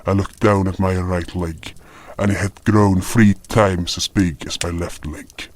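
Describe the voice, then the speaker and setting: A man's voice: sits low, flat delivery, measured pace. A man reading a scripted first-person fantasy narration as the storyteller of an audio drama. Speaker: narrator and voice actor.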